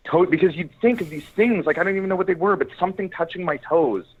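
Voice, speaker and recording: A man's voice: sits high, fast talker, breathy edge, phone-quality sound. A man chatting casually as an interviewed screenwriter, talking in an effusive, emphatic, rambling way.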